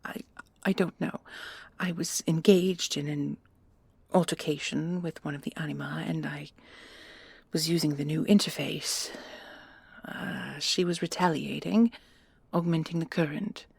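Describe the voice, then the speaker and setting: A woman playing a shaken, deferential commandant in an audio drama, halting, formal and apologetic, in a breathy, husky voice. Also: deep voice, fairly even intonation, dry recording, full character voice. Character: shaken, deferential female military commandant under interrogation. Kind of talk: audio drama roleplay acting.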